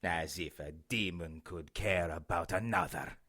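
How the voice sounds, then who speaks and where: A man's voice: low voice, unhurried, pushed and bright, exaggerated voice. A man playing a cold, contemptuous villain posing as a priest in an audio drama, speaking in solemn pronouncements. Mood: cold, ominous. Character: cold menacing male demonic cultist posing as bishop priest.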